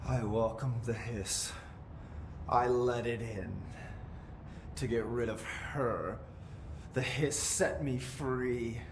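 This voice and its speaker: An eerily calm possessed man. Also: medium pitch. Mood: eerie. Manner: slow, flat, eerily calm. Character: eerie, detached man, brother held in captivity, possessed. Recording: echoey room with background noise.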